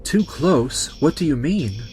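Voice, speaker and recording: A man's voice: a little high, animated, slow pace, a little mellow, faint music behind. A man voicing an earnest, polite young clinic nurse who also narrates a manga dub. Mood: questioning. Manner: polite, sincere. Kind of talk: scripted dub acting and narration.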